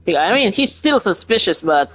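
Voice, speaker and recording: A man giving casual playthrough commentary as a gaming streamer, reacting in halting asides. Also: high voice, sing-song, fairly bright tone, soft background music.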